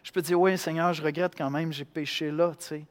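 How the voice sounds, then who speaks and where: A man from Quebec with a Québécois accent, preaching a sermon in an animated way, acting out voices and swinging between loud and hushed. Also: on the high side, brisk, big-room sound. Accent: Québécois French. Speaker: pastor.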